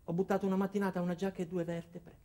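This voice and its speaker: An actor playing an earnest, insecure office man who narrates his own story in a deadpan, hesitant way in a comic radio drama. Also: high-pitched, rapid-fire.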